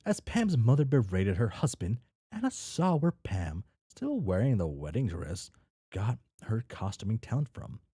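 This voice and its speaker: A man's voice: fairly low pitch, sing-song, a little slow, mellow, breathy edge, dry recording, clean studio sound. A man casually reading a story aloud with off-the-cuff commentary, tripping over and repeating words and swearing freely. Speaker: reader reacting to a fan fiction.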